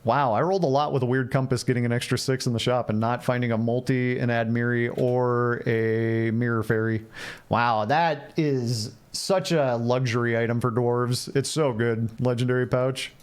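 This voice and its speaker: A man's voice: mid-range voice, fairly even intonation, moderate pace, clear, resonant tone. A man with a mid-range voice streams a game and talks his way through it. His delivery is casual and playful, often repeating phrases as he thinks aloud and chats with viewers. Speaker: game livestreamer. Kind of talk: casual live gameplay commentary, chatting with viewers.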